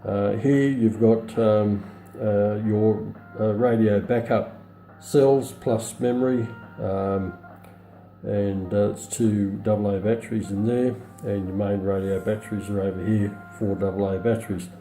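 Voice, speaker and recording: A middle-aged man giving an unscripted product demonstration in a halting, matter-of-fact way. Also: on the low side, steady tone, mellow, roomy sound, soft background music. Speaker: presenter of a radio review. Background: shortwave radio broadcast playing.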